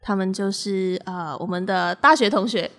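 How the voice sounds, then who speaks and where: A woman's voice: mid-range voice, normal speed, a bit bright. A woman with a Malaysian accent hosting a casual podcast chat, mixing English words into her speech. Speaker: podcast host.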